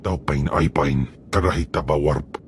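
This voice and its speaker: Super deep menacing alien thug from a video game, guttural made-up language, slight background noise. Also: male voice, moderate pace. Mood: gruff. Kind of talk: scripted video game creature dialogue.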